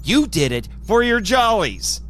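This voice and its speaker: An exasperated male team leader, played by a man in an audio drama, scolds and apologizes in a fairly high, very animated voice. He speaks slowly and haltingly, with music behind him. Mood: exasperated, scolding. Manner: expressive, sighing, hesitant. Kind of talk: fan audio drama acting. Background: background music.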